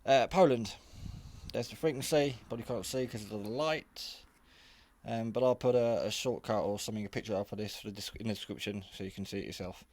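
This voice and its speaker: A man's voice: on the low side, brisk, a little mellow. A man giving a casual, unscripted hobby radio review in a rambling, matter-of-fact, modest way.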